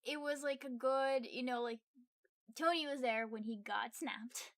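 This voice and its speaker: Higher-pitched woman chatting casually about films. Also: a little slow, no room sound, studio quality. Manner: informal, agreeable, frequent filler "like". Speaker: podcast co-host. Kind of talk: casual film discussion.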